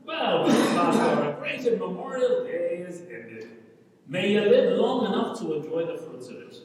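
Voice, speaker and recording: A man's voice: sits high, big pitch swings, unhurried, a little hiss or hum. A man playing an eager, idealistic young Irish countryman given to speechifying, in a dramatic stage reading. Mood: earnest, surprised. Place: large reverberant indoor hall, from far off. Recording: very echoey hall, distant mic.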